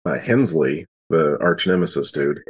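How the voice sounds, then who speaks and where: A man's voice: rumbling low, animated, a little slow, husky. A man talking casually on a podcast panel, explaining things in a chatty way.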